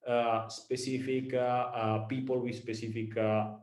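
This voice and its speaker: A man from Italy with an Italian accent, a startup founder giving a talk to students, speaking haltingly with many fillers and repeated words. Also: medium pitch, little pitch movement, a little mellow, echoey room.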